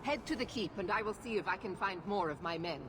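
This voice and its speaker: A woman's voice: medium pitch, brisk, background noise, lightly acted. An actress playing a stern, imperious female knight commander with an authoritative, cold and clipped manner. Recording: game audio with music behind.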